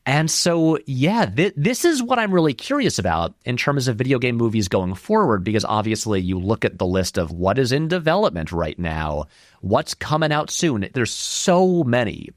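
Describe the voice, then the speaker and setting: A man speaks as an interview guest with a lively, wide-swinging pitch at a middle register. His delivery is informal and discursive, leaning on words for emphasis. The sound is dry, with very little room to it. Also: normal speed, no room sound. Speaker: interview guest, film critic and video essayist. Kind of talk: conversational interview commentary.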